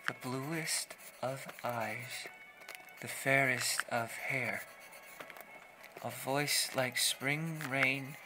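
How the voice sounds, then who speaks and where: An actor playing a shy, awkward young 1940s poet with a halting, hesitant manner in an audio drama. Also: male voice, medium pitch, rough recording. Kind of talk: period horror audio drama acting.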